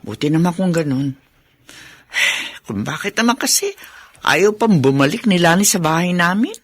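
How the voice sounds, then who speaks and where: A woman's voice: fairly low pitch, big pitch swings, moderate pace, slightly rough, no echo. An actress playing an exasperated but caring older mother and grandmother in a Filipino radio drama. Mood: concerned.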